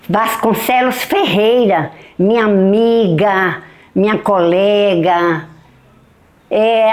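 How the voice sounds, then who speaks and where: A woman, a retired teacher thoughtfully recalling her life in a documentary interview. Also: medium pitch. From Bahia, Brazil. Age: 70s. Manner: reflective, frequent tag questions and restarts. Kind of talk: documentary testimony, reminiscing.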